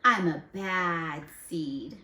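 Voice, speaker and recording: A woman voicing a boastful, self-confessed bad sunflower seed from a children's storybook, with dramatic drawn-out words. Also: sits low, sharp tone, reverberant, full character voice. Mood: dramatic boasting. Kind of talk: children's storybook character read aloud.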